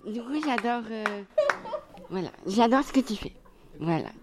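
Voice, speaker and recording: A woman's voice: on the high side, animated, measured pace, heavy background noise. A young woman asking guests questions in a chatty, hesitant way, full of fillers. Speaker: audience member asking questions. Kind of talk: casual question.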